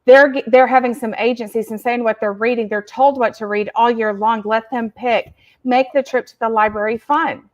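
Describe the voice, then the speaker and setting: Woman therapist, higher voice, earnest, dull webcam sound. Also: fairly even intonation, normal speed. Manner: earnest, explanatory, laughs easily. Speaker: therapist guest and regular. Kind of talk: casual expert explanation. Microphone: video call webcam.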